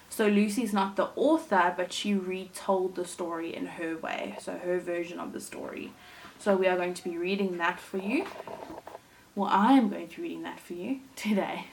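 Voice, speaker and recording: A woman teacher reading a storybook aloud to young children, in a deliberate, expressive way with dramatic emphasis. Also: medium pitch, gravelly, a little hiss or hum, low-quality recording. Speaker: primary school teacher reading to her class.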